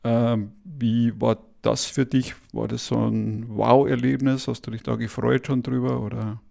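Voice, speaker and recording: A man's voice: mid-range voice, little pitch movement, a little slow, creaky, dark tone. A man hosting a podcast interview, asking questions hesitantly with many fillers. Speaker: podcast host.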